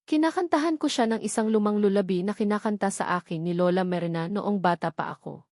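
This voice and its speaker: A woman's voice: medium pitch, brisk, a little mellow, dry recording, studio quality. A woman with a Filipino accent reading a scripted first-person romance story as its storyteller.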